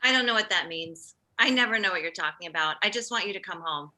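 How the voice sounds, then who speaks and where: A woman's voice: on the low side, fast, sharp tone, slight background noise, low-quality recording. A woman playing a self-absorbed but well-meaning mother of forty-five in a comedy table read, emphatic and fussing. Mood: put-upon, insistent.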